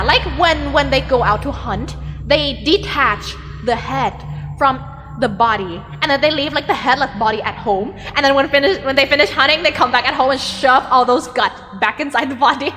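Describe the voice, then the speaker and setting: A slightly high-voiced woman with a Thai accent chats as a streamer, reading out viewer messages. She rambles easily, filling gaps with "like" as she works through ideas. Her voice carries a long reverb tail, with soft music underneath. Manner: chatty, filler-heavy, thinks aloud with frequent "like".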